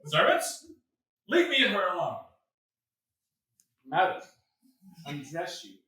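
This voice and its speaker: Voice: medium pitch, very animated, takes it slowly, sharp tone, exaggerated voice. A performer playing a gullible, bawdy drunken tinker who believes he is a lord, delivered broadly in a staged Shakespeare comedy. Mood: pompous, amorous. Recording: roomy stage recording from far off.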